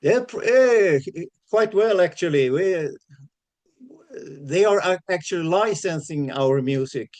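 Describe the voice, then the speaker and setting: A man, a guitarist answering interview questions in a halting, modest way over a video call. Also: sits high, lively intonation, a little mellow. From Sweden. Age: 60s.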